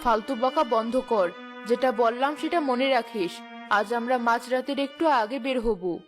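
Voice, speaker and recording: A woman's voice: on the high side, measured pace, natural voice. A woman voicing a bossy, cautious demon wife in a cartoon story, commanding, with music behind her. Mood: stern, cautious.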